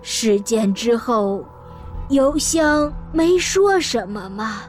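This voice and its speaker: An actress playing a composed, polite old lady who gently probes in an audio drama. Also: fairly high pitch, animated, soft background music. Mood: gently probing. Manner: courteous, measured.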